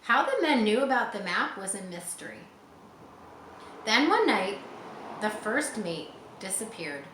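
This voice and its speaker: A woman reads an adventure story aloud for children. Her delivery is expressive, with explanatory asides for young listeners. The room echoes around her medium-pitched voice, with faint noise behind it. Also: measured pace, long pauses. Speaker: audiobook reader. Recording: roomy echo, faint background noise.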